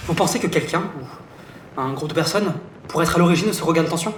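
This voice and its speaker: Man acting a higher-voiced adventurer, distant, noisy. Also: fast talker, natural voice. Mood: probing. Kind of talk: French audio drama acting. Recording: distant voice, clear background noise.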